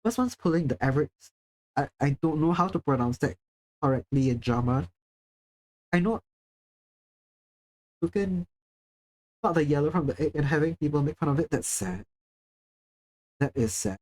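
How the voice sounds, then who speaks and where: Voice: medium pitch, animated, normal speed, pauses often, darkish tone, low-quality recording. Chatty streamer from Singapore. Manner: chatty, self-deprecating, swears casually. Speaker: livestreamer. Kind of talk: casual live-stream chat, reading chat.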